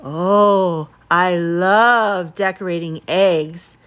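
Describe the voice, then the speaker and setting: A woman voicing an eager, excitable skunk assistant from a picture book, gushing with drawn-out exclamations. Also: lowish voice, clear, resonant tone, muffled recording. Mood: excited.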